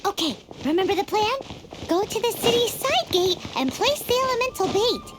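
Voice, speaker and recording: A woman voicing a tiny childlike fairy companion in a video game, squeaky, eager and cheeky, with exclamatory delivery. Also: very animated, moderate pace, very noisy. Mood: excited, eager. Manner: speaks of herself in third person, exclamatory.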